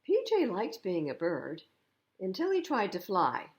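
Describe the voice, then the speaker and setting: A woman's voice: mid-range voice, wildly swooping pitch, unhurried. A woman reading a picture book aloud to young children, expressively, with explanatory asides about the pictures. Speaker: children's storytime reader.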